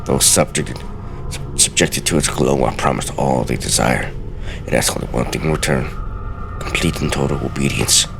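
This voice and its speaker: Male let's play host, extremely low gravelly voice, theatrical reader, game music underneath. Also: moderate pace. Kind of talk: game commentary with dramatic reading of in-game texts.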